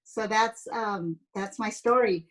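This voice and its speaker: A woman's voice: mid-range voice, takes it slowly, fairly bright tone. A woman in her fifties from San Jose speaks as an interview guest with a mid-range voice. She explains things in a chatty, casual storytelling style. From San Jose, United States. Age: 50s. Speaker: interview guest, educator and former pastry chef.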